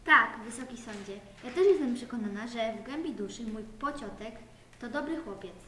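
A kind-hearted, earnest aunt acted by a female amateur pleads in a fairly high voice in a school radio play. The room is echoey, with some noise. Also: expressive, normal speed, bright, forceful tone. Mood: earnest pleading. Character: kind-hearted, aggrieved shopkeeper aunt, childless.

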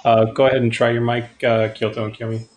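A man moderating a live video call, easygoing, with short affirmations and quick laughs as he steers the talk. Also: medium pitch, level tone, slight background noise. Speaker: co-host, moderator. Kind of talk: casual live-stream moderating.

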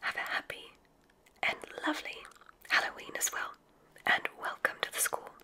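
A woman playing a gracious, whimsical witch who runs a magic school, in a genteel, breathy ASMR whisper. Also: natural voice, poor audio. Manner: genteel, unhurried, welcoming. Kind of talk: ASMR medical roleplay.

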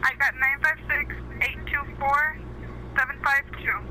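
A woman's voice: high-pitched, fairly even intonation, a little slow. Polite woman on a noisy phone line. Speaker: truck stop employee taking a call. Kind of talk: phone conversation with a caller.

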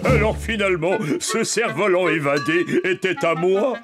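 A man's voice: high-pitched, moderate pace, close to an everyday voice. A man voicing a jolly, easily amazed toy-town fellow in a French children's cartoon dub, full of exclamations. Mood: delighted. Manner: jovial, exclamatory. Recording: music behind the voice.

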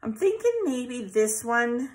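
A woman's voice: a little high, sing-song, slow pace. Chatty woman thinking aloud over crafts. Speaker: scrapbooking channel host. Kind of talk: casual craft tutorial chat. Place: craft table at home, overhead camera on hands.

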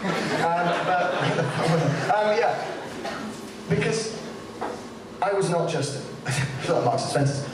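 A man's voice: high voice, noisy background. A young man doing a stand-up set with a light, boyish voice, rambling and self-mocking into a handheld mic in a small comedy club. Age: young adult. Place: small comedy club before a seated audience. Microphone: handheld stage mic. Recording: echoey club recording from a distant camera. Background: audience laughter.

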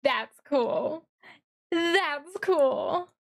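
Slow-paced, higher-voiced woman streamer. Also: expressive. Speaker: VTuber streamer. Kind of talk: reaction commentary on a game trailer.

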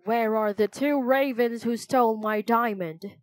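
Lady doing a creepy raspy bird-man king from a kids' storybook, slow and taunting, super dry sound. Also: on the high side, no echo, close to an everyday voice. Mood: demanding, sinister. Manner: slow, mock-polite, taunting. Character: menacing Raven King, half man half bird, mocking villain.

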